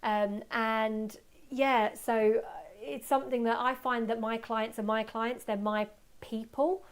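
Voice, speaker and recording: A woman giving an informal live webinar as a workshop presenter, chatting away in an excitable, self-deprecating manner and taking audience questions. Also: on the high side, measured pace, smooth. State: voice slightly going from talking.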